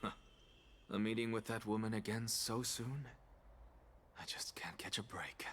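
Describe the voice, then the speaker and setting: A man's voice: lowish voice, unhurried, fairly bright tone, a little hiss or hum. An actor playing a weary, sardonic male professor in a game, speaking in a dry, world-weary way.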